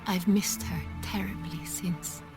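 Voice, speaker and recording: A woman's voice: mid-range voice, level tone, takes it slowly, close to an everyday voice. A woman playing a poised, regal ruler of a fantasy duchy in a game, speaking formally and eloquently. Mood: sorrowful, reflective. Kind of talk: cinematic fantasy game dialogue. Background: prominent background music.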